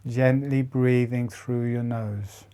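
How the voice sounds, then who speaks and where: A man leading a guided breathing and jaw relaxation meditation in slow, soft, broken phrases with long pauses. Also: mid-range voice, steady tone, smooth. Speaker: meditation teacher.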